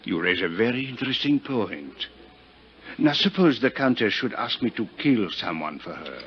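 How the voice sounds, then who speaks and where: Through a dull, faintly hissy vintage radio recording comes a suave French inspector. He has a French accent and a florid, teasing way of savoring words, with big swings of pitch in 1950s radio drama style. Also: very animated, normal speed. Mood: argumentative, sly.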